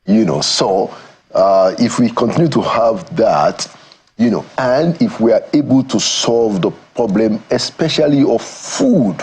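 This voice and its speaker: A Nigerian man answering as a guest in a TV studio interview, speaking in a measured, thoughtful way and pausing to find his words. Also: mid-range voice, animated, darkish tone, slightly rough. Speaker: studio guest, former government aide. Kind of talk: morning TV interview.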